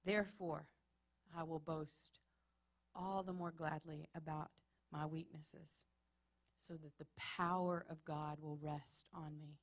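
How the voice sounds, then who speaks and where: A woman's voice: sits low, level tone, slow pace. A woman from Texas with a Texan accent, preaching a sermon in an earnest way that builds to emphatic repetition. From Texas, USA. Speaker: pastor. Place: church.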